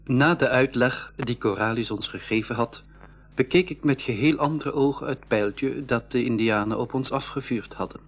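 Earnest young adventurer narrating a radio play, measured phrasing, husky mid-pitched voice. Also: male voice. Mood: earnest, reflective. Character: Piet, boy adventurer recounting his journey, earnest. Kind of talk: scripted first-person storytelling in school radio play.

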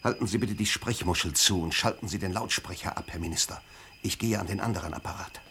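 An actor in a German radio play playing a curt, commanding and suspicious intelligence chief. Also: male voice, deep voice, quick pace, gravelly, background noise. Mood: brisk, skeptical. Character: brusque, commanding male secret service chief.

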